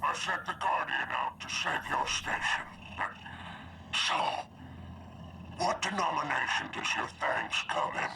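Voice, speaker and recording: Gravelly, wheedling alien crime broker. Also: male voice, a little high. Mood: sly. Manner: wheedling, theatrical, drawn-out phrases. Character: sly, oily alien crime broker, greedy and flattering. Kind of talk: video game cutscene acting. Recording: distant, noisy game audio.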